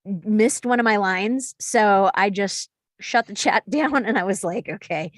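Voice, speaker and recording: A woman's voice: medium pitch, measured pace, no echo. A woman chatting casually with fellow readers after a table read. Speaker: cast member of a table read. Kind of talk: casual chat.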